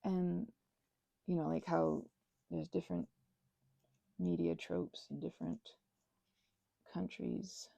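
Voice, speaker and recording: Very creaky-voiced woman, rambling casually. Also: lowish voice, no echo, dull audio. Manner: halting, digressive, with gasps and exclamations; gushing, excitable. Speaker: craft and ASMR channel creator. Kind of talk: casual unscripted rambling while crafting.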